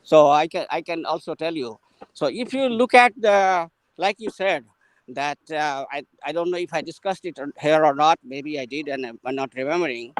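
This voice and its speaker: A man's voice: on the high side, no room sound, poor audio. Indian-accented man talking while walking. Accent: Indian English. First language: Maithili. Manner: unhurried and rambling, with frequent fillers and restarts while walking. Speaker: guest mentor in an English practice session. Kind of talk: casual conversational storytelling.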